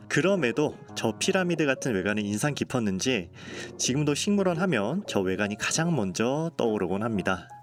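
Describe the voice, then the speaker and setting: A man tells his build step by step in a calm, courteous voice-over. His voice sits at an ordinary middle pitch. Cheerful background music plays clearly under him. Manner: calm, polite explanatory tone. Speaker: game building vlogger. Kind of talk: scripted voice-over narration.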